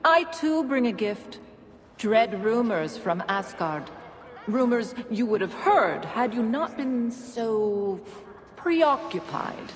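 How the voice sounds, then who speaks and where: Slow, solemn woman bearing dark tidings. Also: on the high side, very animated. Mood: grave, ominous. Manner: formal, measured, poetic. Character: solemn, foreboding female messenger addressing a god. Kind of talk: dramatic game cutscene acting. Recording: roomy, long reverberant echo.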